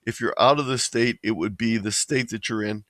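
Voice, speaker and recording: A man's voice: lowish voice, moderate pace, fairly bright tone, no echo, clean studio sound. A man explaining things informally as a webinar presenter. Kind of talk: informal instructional webinar talk. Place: indoors, online webinar.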